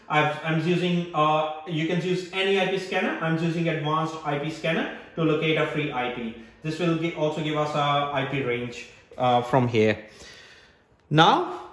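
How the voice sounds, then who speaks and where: Man with higher voice, echoey room. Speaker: presenter of a tech setup tutorial. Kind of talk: step-by-step instructional narration. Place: small hard-floored room indoors. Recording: roomy, echoing indoor sound.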